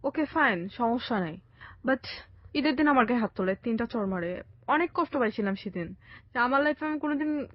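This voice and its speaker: A young woman with a Bangladeshi accent telling her own life story conversationally, in a halting way with bits of English. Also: on the high side, expressive, no echo. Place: radio studio. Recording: dry, slightly dull radio sound.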